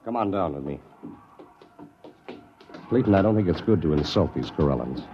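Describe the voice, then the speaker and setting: An actor in a 1950s radio drama playing a cautious, principled anthropologist, earnest and reasonable. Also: male voice, low voice, expressive, moderate pace, music in the background. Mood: reproachful, calm. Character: cautious, principled male anthropologist on alien outpost. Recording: muffled old radio recording.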